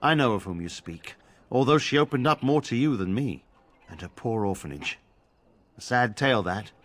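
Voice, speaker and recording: An actor playing a grave, pious templar in a fantasy video game, speaking formally and solemnly. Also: male voice, medium pitch, animated, normal speed, lightly acted. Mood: grave, hopeful. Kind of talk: video game drama acting.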